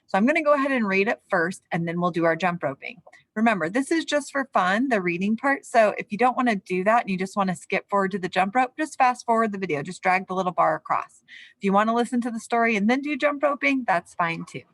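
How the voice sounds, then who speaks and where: A woman's voice: mid-range voice, animated, fast, no room sound. Woman teacher addressing young children, dry sound. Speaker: elementary physical education teacher. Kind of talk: children's lesson and storybook read-aloud.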